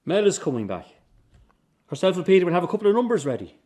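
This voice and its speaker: An actor playing a middle-aged circus boss in a radio drama, defensive, bitter and short-tempered. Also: male voice, high-pitched, very animated, fast, darkish tone. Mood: matter-of-fact, guarded. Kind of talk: naturalistic radio drama acting.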